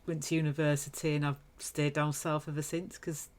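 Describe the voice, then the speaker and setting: A woman's voice: low voice, level tone, brisk, darkish tone. A woman hosting a podcast who interviews her guests with short, friendly questions. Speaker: podcast host.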